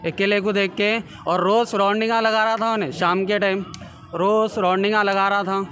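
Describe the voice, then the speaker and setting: A man's voice: high-pitched, little pitch movement, normal speed, fairly bright tone, faint music behind. A young man with a Hyderabadi accent giving a spontaneous, insistent account to reporters, often repeating himself and urging them to listen. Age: young adult. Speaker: injured attack victim giving a statement. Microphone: handheld reporters' microphones held close. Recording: street-interview sound with crowd bustle.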